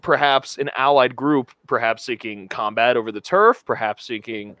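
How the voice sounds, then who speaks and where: A man's voice: mid-range voice, normal speed, pushed and bright, no echo. A man co-hosting a podcast, telling a rambling anecdote with lots of filler words in a casual chat. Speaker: podcast co-host.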